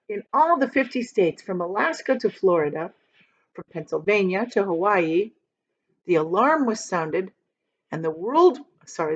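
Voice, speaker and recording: Animated woman reading a children's story aloud. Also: mid-range voice, muffled recording. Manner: expressive, dramatic reading for children. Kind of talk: animated storytime read-aloud with explanatory asides.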